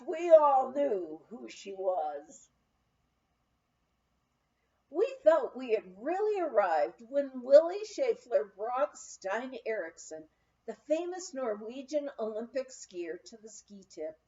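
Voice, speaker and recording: A woman with a fairly high, very animated voice reads a light-hearted memoir aloud. She takes her time over each phrase in an expressive storytelling way. The recording is slightly dull and muffled. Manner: expressive storytelling, acting out quoted speech. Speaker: audiobook reader.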